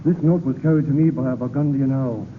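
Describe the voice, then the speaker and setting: An actor playing a dutiful soldierly underling in 1930s radio style. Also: male voice, medium pitch, fast, close to an everyday voice. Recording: tinny noisy reverberant 1930s radio.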